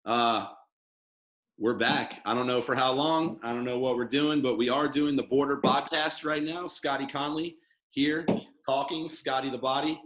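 A man's voice: medium pitch, fairly even intonation, normal speed, a bit bright, reverberant, low-quality recording. A man hosting a casual podcast, talking loosely with lots of fillers and restarts. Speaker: podcast host. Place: studio headquarters room.

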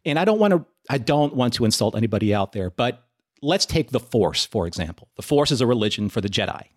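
A man co-hosting a casual discussion podcast, laying out long, measured, analytical arguments. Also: medium pitch, sing-song. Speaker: podcast co-host.

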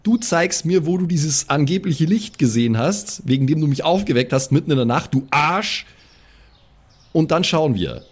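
Man playing a bossy, foul-mouthed woman, swooping pitch. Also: expressive, normal speed, husky. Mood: irritated. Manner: bossy, blunt.

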